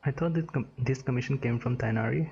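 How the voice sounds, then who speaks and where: A person, a man, giving casual, halting, muttered reaction commentary while playing a game. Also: medium pitch, creaky, mellow, husky. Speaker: gamer reacting to a story quest. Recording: dull lo-fi mic, game music behind.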